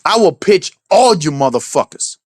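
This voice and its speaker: A man's voice: on the high side, very animated, normal speed, no echo, clean studio sound. Heated Crucian-accented man ranting. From St. Croix, US Virgin Islands. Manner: heated, profane, taunting and threatening. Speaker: livestreamer. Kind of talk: angry livestream rant. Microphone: streaming setup.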